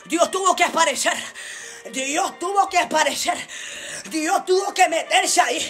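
A woman preacher delivering a fervent Pentecostal-style sermon in an emphatic, repetitive, declamatory way, impassioned and often rising to shouting. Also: very high voice, normal speed, soft background music.

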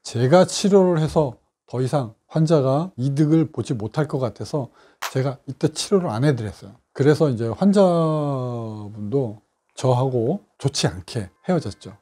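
Mellow-voiced man explaining a case. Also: medium pitch, animated, slightly rough. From South Korea. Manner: measured, explanatory, with frequent fillers. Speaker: scar clinic doctor. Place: doctor's office with bookshelves.

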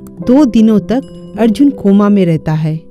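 A woman's voice: medium pitch, expressive, unhurried, warm tone, muffled recording, soft background music. A woman giving scripted narration for a cartoon moral story.

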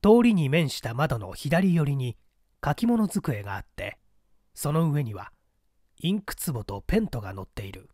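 Expressive male narrator, close dry sound. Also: on the high side, moderate pace, dry recording. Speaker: audiobook narrator. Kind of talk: scripted dramatic narration of a mystery story.

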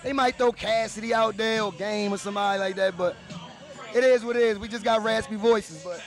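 A man with a raspy voice, interviewed at his own party in a dark club, talking in laid-back street slang. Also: high voice, fairly even intonation, a little fast. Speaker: rapper being interviewed. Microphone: handheld mic held by interviewer. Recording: music playing behind.

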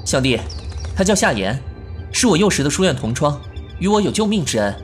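A man playing a refined, caring but sharp-tongued young bandit leader in a Chinese period audio drama, speaking earnestly in literary phrases. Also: on the high side, sing-song, a little fast, soft background music. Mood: urgent pleading.

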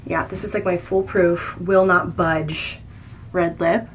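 A woman's voice: lowish voice, level tone, takes it slowly, faint music behind. A young adult woman talking casually and informally to her vlog audience in slangy everyday speech. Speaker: lifestyle vlogger. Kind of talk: casual chatty vlog talk. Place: indoors at home and in a house.

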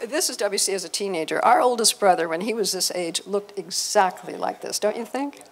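An older woman presenting an informal family-history slide talk, halting and often restarting her phrases. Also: medium pitch, animated. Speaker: presenter, speaker at a convention. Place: hotel conference room, before a seated audience.

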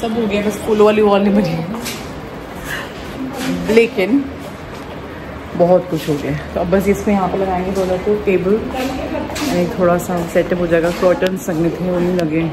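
A woman's voice: fairly low pitch, fairly even intonation, normal speed, pauses often. A woman, chatting casually for a vlog and mixing in English phrases. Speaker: vlogger and salon owner. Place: indoor salon rooms being set up. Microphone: camera held at arm's length. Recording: loud room noise, handheld camera audio. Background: loud ambient room noise.

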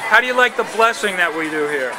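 A man's voice: high-pitched, animated, fast, low-quality recording. Cheerful man over restaurant chatter. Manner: cheerful, friendly, repeats thanks. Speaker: restaurant promoter interviewing diners. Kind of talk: upbeat customer interviews. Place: busy restaurant dining room. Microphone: handheld camera phone. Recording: loud diner crowd chatter.

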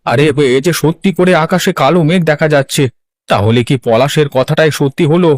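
A man's voice: fairly high pitch, normal speed, no room sound, clean studio sound, lightly acted. A man voicing an anxious, lamenting poor village farmer in a cartoon, plaintive and exclamatory. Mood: worried lament. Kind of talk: Bengali cartoon voice acting.

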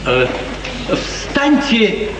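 An actor playing an older, deferential yet proud court painter, courteous and declamatory in a 1950s radio play. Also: male voice, sits high, very animated, drawn-out words, heavily acted. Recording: echoey, distant old radio recording, crowd-like noise.

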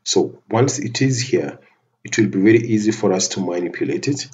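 A man giving a methodical, step-by-step software tutorial over a screen recording, often restarting his phrases. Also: on the low side, moderate pace. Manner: methodical step-by-step narration with frequent restarts and fillers.

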